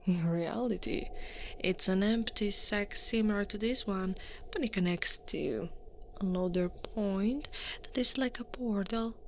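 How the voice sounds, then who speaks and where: An Italian woman playing a tall, teasing Krampus monster woman in a soft, breathy ASMR roleplay, often dropping into a whisper. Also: medium pitch, measured pace, a little hiss or hum, close to an everyday voice. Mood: explaining, coaxing. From Italy. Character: eight-foot horned furry Krampus woman, teasing, coaxing, in control.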